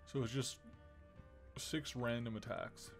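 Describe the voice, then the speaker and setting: A man's voice: mid-range voice, steady tone, slow, soft background music. A man streaming a game, giving casual commentary and musing aloud as he thinks through his choices.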